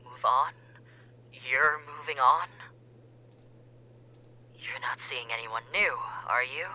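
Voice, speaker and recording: Casting: male actor as hesitant remorseful ex on a call, slow and sparse, bright sharp tone, soft near-whispered delivery. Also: mid-range voice, poor audio. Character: remorseful young male ex-boyfriend calling.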